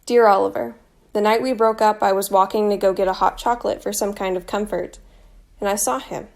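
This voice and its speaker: A young woman playing a wry, tender teenage girl in a stage drama of spoken letters, with a slight creak in her voice. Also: mid-range voice, fairly even intonation, fairly quick, darkish tone, natural voice. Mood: candid, bitter.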